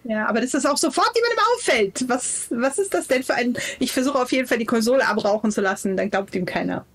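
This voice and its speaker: A woman takes part in an online tabletop roleplaying session. Her voice is fairly high. She talks casually, describing what her character does and working out the rules. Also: expressive, fast. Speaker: player in a tabletop roleplaying game. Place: indoors on an online video call.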